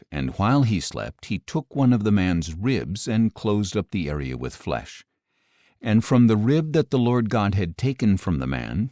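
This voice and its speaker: A man reading scripture as an audiobook narrator, in a measured, solemn manner. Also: on the low side, warm tone, dry recording, clean studio sound.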